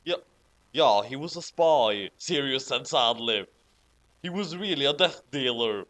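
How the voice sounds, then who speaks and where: A man's voice: mid-range voice, lively intonation, a little slow, fairly bright tone. A man reading fan fiction aloud theatrically, with amused, giggly commentary. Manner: theatrical, hammy emphasis; giggly, breaking into laughter.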